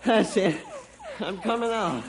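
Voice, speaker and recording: Animated, earnest farmer storyteller. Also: male voice, sits high, crowd noise. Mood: strained, desperate. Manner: expressive, deliberate storyteller. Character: earnest farmer recounting his dog's heroics. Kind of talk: old-time radio drama acting. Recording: faintly noisy vintage radio recording.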